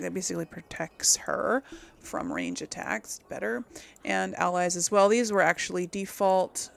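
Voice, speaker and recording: A woman's voice: lowish voice, vocal fry. A woman giving casual gameplay commentary as the host of her series, informal and conversational, thinking aloud as she goes. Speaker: video game streamer, host of a role-play series.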